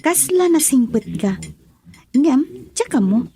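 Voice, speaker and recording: An actress with an Ilocano Filipino accent playing a frank, soft-hearted young woman in a radio drama. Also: sits high, big pitch swings, slow, a crowd in the background, full character voice. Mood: shy, frank.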